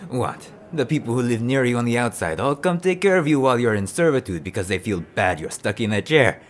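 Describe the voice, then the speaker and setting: Wry curious inmate, played by a man, lively pitch, faint ambience. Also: fairly quick, slight background noise, natural voice.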